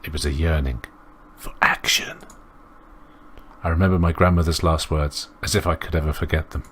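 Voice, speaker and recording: A man reading aloud the visual novel narration of a reflective teenage girl detective, in a subdued, measured way. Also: very deep voice, steady tone, fairly bright tone, a little hiss or hum. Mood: quiet, wistful.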